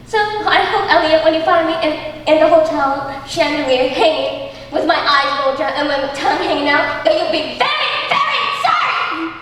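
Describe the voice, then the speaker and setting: Echoey, high-pitched melodramatic wife acted by young woman. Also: animated, normal speed, fairly bright tone. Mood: bitter, melodramatic. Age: young adult. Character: melodramatic, overbearing jilted wife. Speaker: student stage actor. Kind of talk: theatrical stage acting. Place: large indoor school auditorium, on stage. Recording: echoey school auditorium, distant mic, faint noise.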